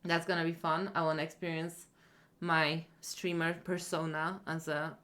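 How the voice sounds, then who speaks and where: Low-voiced woman streamer. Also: flat delivery, normal speed, fairly bright tone. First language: Polish. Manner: rambling, many fillers and restarts. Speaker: streamer reacting to a video. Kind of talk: casual reaction commentary.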